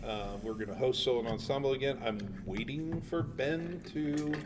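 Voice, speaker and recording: A man's voice: medium pitch, normal speed. Teasing man in an echoey room. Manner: teasing, with many fillers and restarts. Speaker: podcast co-host, choir teacher. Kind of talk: casual chat. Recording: echoey room from a distance with background noise.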